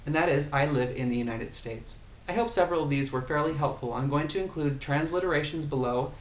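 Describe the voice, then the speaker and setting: A man's voice: a little high, brisk. A man giving a casual language lesson, patiently explaining and repeating example phrases. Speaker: language tutor. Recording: echoey room, faint ambient noise.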